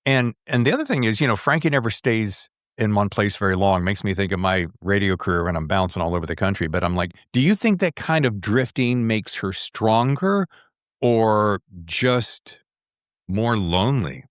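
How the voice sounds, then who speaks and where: An American man hosting a conversational interview, reflective and halting as he circles back through his thoughts. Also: lowish voice, lively intonation, dry recording, studio quality. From American South. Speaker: podcast host interviewing an author.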